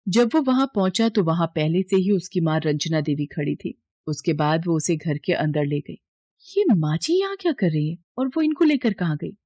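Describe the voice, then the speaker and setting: A woman's voice: on the low side, a little mellow, dry recording. Woman narrating a story, dry close sound. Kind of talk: scripted dramatic story narration.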